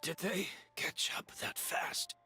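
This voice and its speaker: An actor voicing an exhausted, resigned old man in a video game, breathy and fading. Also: slow pace, sharp tone, faint music behind.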